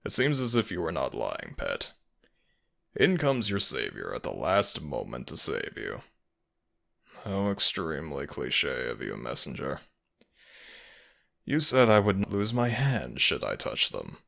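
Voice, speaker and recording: Man playing a low, creaky, taunting fox-spirit villain. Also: wildly swooping pitch, bright, forceful tone, exaggerated voice. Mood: mocking. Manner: slow, theatrical, taunting menace. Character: sadistic, mocking male nogitsune fox spirit villain. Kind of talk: ASMR audio roleplay acting.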